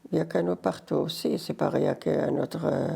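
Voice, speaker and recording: An older Berber-speaking woman from Morocco and Algeria with a North African accent, telling her life story in halting French mixed with Arabic. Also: deep voice, gravelly, mellow, breathy edge. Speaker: interviewee, grandmother. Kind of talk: casual life-story interview.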